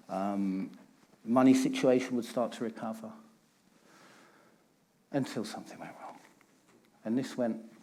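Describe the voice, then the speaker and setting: A man's voice: lowish voice, warm tone. A middle-aged man, giving a candid personal talk on stage and stressing points through repetition. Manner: candid, emphatic storytelling with repeated phrases. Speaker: conference speaker.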